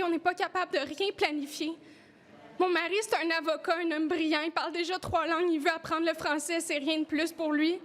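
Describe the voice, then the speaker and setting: A woman's voice: very high voice, fairly bright tone. A woman with a Québécois accent giving an earnest, emotional personal testimony at a press conference. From Quebec.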